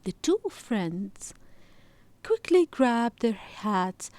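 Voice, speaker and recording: A woman reading a children's story aloud in expressive scripted narration. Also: a little high, dry recording.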